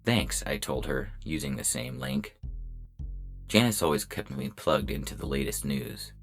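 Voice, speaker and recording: A man performs a robot police officer narrating a noir detective tale. The voice is extremely low and gravelly, with deadpan, clipped delivery. Music plays clearly behind it. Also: measured pace, full character voice.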